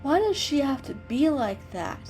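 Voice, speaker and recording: A woman's voice: sits high, very animated, measured pace, faint music behind, voice pushed up. A woman voicing a proud young male kitten in a storybook reading, kindly, loyal and encouraging. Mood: supportive. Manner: encouraging, warm-hearted.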